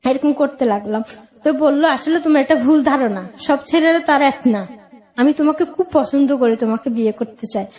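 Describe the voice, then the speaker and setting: A woman's voice: high voice, normal speed, a little mellow. A woman shares her personal story in an interview. She speaks in a plain-spoken way and relays past conversations in direct speech. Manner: plain-spoken, recounting with acted-out dialogue. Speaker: guest telling own life story.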